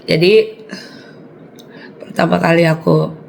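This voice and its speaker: A woman in her forties, hoarse and raspy from a cold, chatting casually to her viewers and laughing often. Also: on the low side, level tone, takes it slowly, a little hiss or hum. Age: 40s. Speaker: vlogger.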